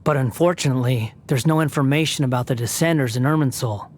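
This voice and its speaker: An actor playing an aloof, proud young wandering god in a video game, speaking with a cool, dry, mocking delivery. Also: male voice, medium pitch, fast, a little mellow, a little hiss or hum, lightly acted. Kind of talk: video game cutscene dialogue.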